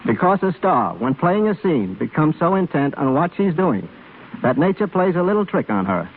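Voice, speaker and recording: A man's voice: on the high side, big pitch swings, normal speed. A man speaking as a guest expert in a scripted 1930s radio interview, explaining things formally and with careful phrasing. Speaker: film cameraman guest. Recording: scratchy, tinny 1930s radio broadcast.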